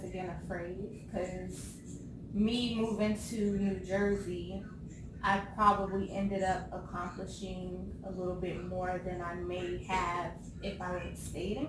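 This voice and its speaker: A woman in her thirties from California, chatting casually on a talk show, laughing often and pausing with ums. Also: mid-range voice. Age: 30s. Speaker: co-host. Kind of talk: casual chat. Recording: echoey room, far from the mic, background noise.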